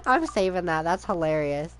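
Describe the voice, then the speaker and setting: A woman's voice: lowish voice, clean tone. A woman streaming a video game, chatting casually with wry asides as she reacts. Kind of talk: casual playthrough reactions, some reading aloud. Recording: game music behind voice.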